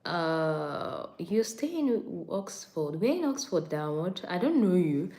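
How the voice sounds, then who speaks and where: A woman's voice: fairly low pitch, very animated, takes it slowly, dark tone. A woman from Nigeria, an anesthetics and recovery practitioner, chatting casually on a live stream and answering viewer questions, often repeating herself and reading out comments. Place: on a break during night duty.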